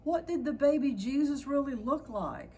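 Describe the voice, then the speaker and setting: An earnest, inquisitive little boy as a woman reader puts him on for a Christmas storybook. The pitch is raised and very animated, and he questions everything persistently. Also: moderate pace. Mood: questioning.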